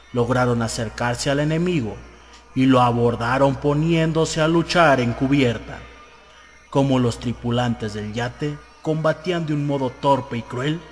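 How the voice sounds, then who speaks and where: Male audiobook narrator, mid-pitched voice, dramatized news-report reading, long hall reverb, music underneath.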